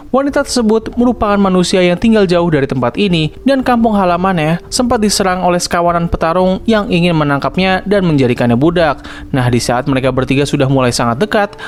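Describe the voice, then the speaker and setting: Fast-talking young man recapping a cartoon. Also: a little high. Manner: casual slangy storytelling with English fillers. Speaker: cartoon review channel host. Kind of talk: scripted plot recap narration.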